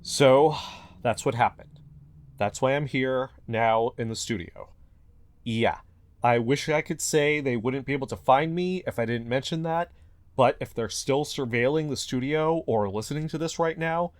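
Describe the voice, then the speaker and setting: A man playing an anxious, paranoid fugitive podcaster in an audio drama, earnestly talking to himself in halting mutters. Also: mid-range voice, no echo, natural voice. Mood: resigned, uneasy. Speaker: investigative podcast host in an audio fiction. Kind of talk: audio drama found-recording monologue.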